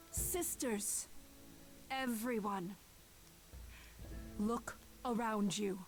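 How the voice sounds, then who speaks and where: An actress playing a proud, grave goddess leader in a video game, speaking in a formal, declamatory way. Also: female voice, on the high side, poor audio. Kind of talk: dramatic video game voice acting.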